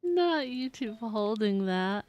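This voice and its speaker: A woman with a fairly high voice chats to her viewers as she plays a game on stream. She takes her time, speaking at an unhurried pace. Her manner is playful and teasing, given to giggles. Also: expressive, darkish tone. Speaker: livestreamer, VTuber. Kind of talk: casual gaming stream chat with viewers.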